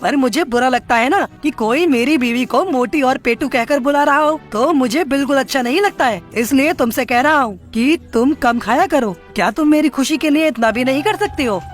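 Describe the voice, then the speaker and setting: Woman doing a fed up crow husband in a kids cartoon, high pitched, scolding, bit of music. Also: moderate pace, few pauses, natural voice. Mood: annoyed. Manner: scolding, insistent. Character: annoyed, worried male crow husband. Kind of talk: animated moral story dialogue. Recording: music bed behind the voice.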